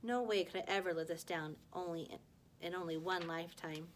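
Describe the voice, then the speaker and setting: Woman, classroom read-aloud, children's fiction, expressive, occasional word stumbles, slight background noise. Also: fairly low pitch, brisk. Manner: animated dialogue, stumbles over words and corrects herself. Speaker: teacher reading aloud to a class.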